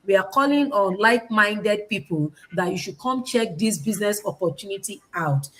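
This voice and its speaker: A woman hosts an informal online business talk at a middling pitch. She speaks emphatically, often repeating phrases for weight and putting rhetorical questions to listeners. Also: expressive, normal speed, fairly bright tone. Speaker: online presenter, business owner and educator.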